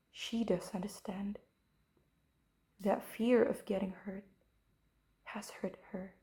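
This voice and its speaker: Woman w/ mellow voice reciting a poem, super slow. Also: mid-range voice. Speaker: poetry reader.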